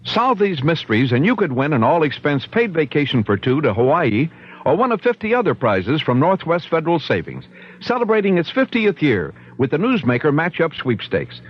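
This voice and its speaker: A man reading a 1970s radio commercial as an announcer, in an upbeat salesman's delivery. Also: fairly high pitch. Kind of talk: scripted 1970s radio ad read. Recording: dull lo-fi 1975 radio broadcast.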